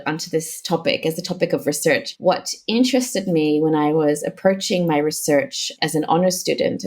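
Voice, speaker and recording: A woman's voice: sits low, darkish tone. Thoughtful woman academic from Israel. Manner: thoughtful, halting, with frequent restarts and repeated words. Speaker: sociologist guest. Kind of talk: academic interview.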